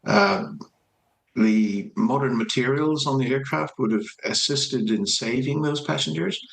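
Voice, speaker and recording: A man giving explanatory expert commentary in a news interview, speaking in a halting, measured way. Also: mid-range voice, animated, creaky, roomy sound, poor audio. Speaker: aviation expert being interviewed.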